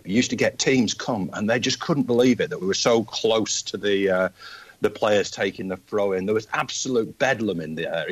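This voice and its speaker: An older man with a Manchester accent and a very low, deep voice tells long, wandering football stories. The sound is dry and close, with no room around it. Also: breathy edge, no room sound. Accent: Mancunian. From Manchester, England. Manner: rambling anecdotal storyteller. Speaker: guest football fan. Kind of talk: casual podcast chat.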